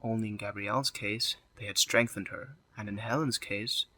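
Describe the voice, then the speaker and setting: A man's voice: lowish voice, steady tone, moderate pace, pushed and bright. A man narrating a scripted drama as a storyteller.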